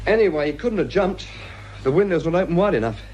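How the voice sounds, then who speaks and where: An actor playing an earnest young police constable in a radio drama, deferential but persistent in making suggestions. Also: male voice, a little high, expressive, quick pace, a little mellow, lo-fi sound, slight background noise, lightly acted. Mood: doubtful.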